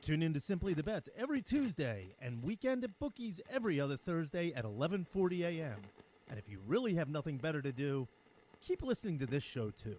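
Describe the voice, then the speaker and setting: A man's voice: a little high, big pitch swings, brisk, a little mellow, rough recording. A man delivering a scripted radio advertisement as an announcer. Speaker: ad and promo announcer. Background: music bed.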